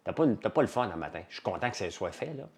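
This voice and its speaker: Man, low voice, Quebec French accent, casual livestream chat, blunt, rambling, colloquial. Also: very animated, very fast. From Quebec, Canada. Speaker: livestream host.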